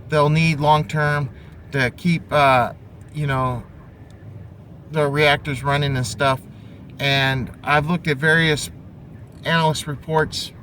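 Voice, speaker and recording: A man with a slightly high voice talks through his opinions on investing, off the cuff. His delivery is halting, as if thinking aloud, and there is clear vehicle-like noise behind him. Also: sharp tone. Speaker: amateur investor commentator. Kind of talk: unscripted opinion monologue on investing. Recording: clearly noisy, vehicle and outdoor-like background.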